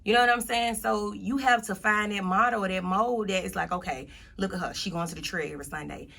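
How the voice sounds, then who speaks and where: Gravelly-edged motivational woman, emphatic. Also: medium pitch, fast, a bit bright. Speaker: motivational speaker being interviewed. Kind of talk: casual conversational interview.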